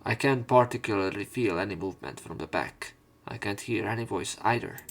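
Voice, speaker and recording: A man with a fairly low voice hosts a let's play of a visual novel. He reads the story text aloud and mixes in his own casual, unpolished commentary. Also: brisk, vocal fry, faint music behind. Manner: informal, swearing in reactions. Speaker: let's player.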